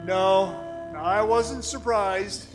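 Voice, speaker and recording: A man playing a weary, awestruck man who recounts an eerie ordeal in a radio drama, dramatic, halting and haunted. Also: high voice. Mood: awed. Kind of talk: dramatic radio play narration. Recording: music behind the voice.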